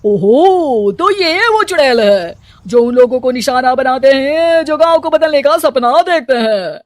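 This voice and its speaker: A woman's voice: sits high, animated, unhurried, dry recording. A woman voicing a scheming male village headman in a storybook cartoon, commanding and harsh. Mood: grave. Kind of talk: storybook voice acting.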